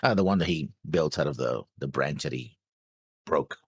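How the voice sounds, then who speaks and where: A man's voice: rumbling low, lively intonation, normal speed, slightly rough, no echo, clean studio sound. A man co-hosting a reaction show, chatting casually and somewhat hesitantly, often trailing off. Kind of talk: casual discussion of an anime episode.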